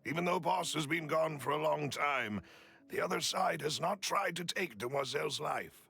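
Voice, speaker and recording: An actor playing a loyal, formal butler to a young lady in a video game, speaking gravely and courteously. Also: fairly high pitch, moderate pace, bright, forceful tone, exaggerated voice. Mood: solemn confession. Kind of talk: dramatic video game voice acting.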